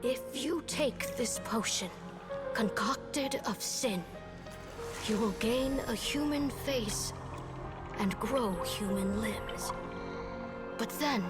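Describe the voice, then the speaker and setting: A woman voicing a frightening witch in a video game fairy tale, theatrical and menacing. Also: a little high. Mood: ominous. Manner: slow, theatrical, menacing delivery. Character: frightening, ominous witch offering a sinful bargain. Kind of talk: video game storybook voice acting. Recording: loud background music.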